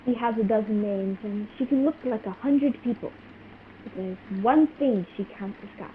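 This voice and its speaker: Woman as secretive female spy for radio play: high-ish, slow, dramatic, halting; tinny noisy call line. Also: natural voice. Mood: grave, secretive. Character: frightened, secretive woman spy, later gravely wounded. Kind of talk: old-time radio play acting. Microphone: video call. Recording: muffled, noisy video call audio.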